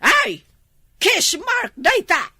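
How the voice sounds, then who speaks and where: A woman's voice: high-pitched, big pitch swings, slow pace, sharp tone, no echo, studio quality, heavily acted. A woman playing a nagging, suspicious elderly wife in an Ilocano comedy drama, with an Ilocano accent from the northern Philippines. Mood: scolding, jealous.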